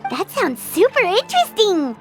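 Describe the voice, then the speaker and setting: A tiny fairy companion from a video game, chirps in an extremely high, childlike squeak. Her pitch leaps about wildly as she exclaims with eager curiosity. Prominent music plays behind her. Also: wildly swooping pitch, moderate pace, exaggerated voice. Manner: exclamatory, refers to herself in third person.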